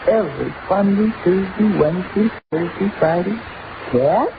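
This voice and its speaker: A woman's voice: mid-range voice, lively intonation, measured pace. A woman delivering a playful, confiding scripted promo in 1950s radio style. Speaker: radio network promo announcer. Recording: tinny, noisy old radio broadcast.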